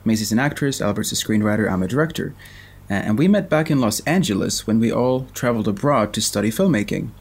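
Husky young Swedish man co-hosting. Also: on the low side, lively intonation, quick pace. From Sweden. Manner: upbeat, leads the talk. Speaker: podcast co-host. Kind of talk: casual chat.

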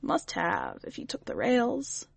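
A woman reading the part of a shrewd, calm witch who speaks plainly and gently. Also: a little high, slow pace, gravelly, no echo, pitched above the performer's own voice, heavily acted.

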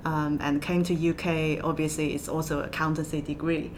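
A woman from southwest China with a Chinese accent, answering interview questions in halting, hesitant phrases. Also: flat delivery. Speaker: podcast guest, accountant. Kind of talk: interview conversation. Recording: low rumble and background noise.

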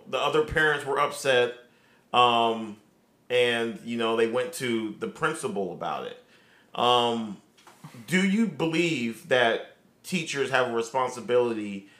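A man hosting a casual podcast discussion, talking in a halting way with many fillers and restarts. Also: mid-range voice, bright, forceful tone, reverberant. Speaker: podcast host.

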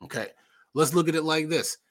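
A man's voice: a little high, fairly quick. Opinionated American man, casual commentary. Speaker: podcast host.

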